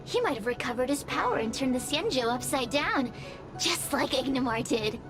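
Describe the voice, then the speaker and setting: A woman's voice: sits high, lively intonation, normal speed, over music. A woman voicing a proud, mocking fire spirit in a video game, speaking in a theatrical storyteller's manner. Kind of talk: video game character acting.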